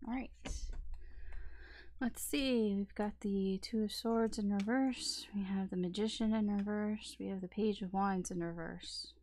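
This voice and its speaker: A woman's voice: mid-range voice, steady tone, unhurried, dark tone. A woman giving a casual weekend tarot reading, rambling along with lots of fillers. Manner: rambling, filler-heavy talk. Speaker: tarot reader.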